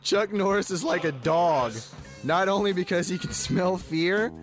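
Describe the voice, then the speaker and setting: Male comedy podcast host with a notably high voice, loose and sweary, music clearly underneath. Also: measured pace. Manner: slangy, profane, says "dude" constantly. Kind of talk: reading jokes in casual banter. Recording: voice over loud music bed.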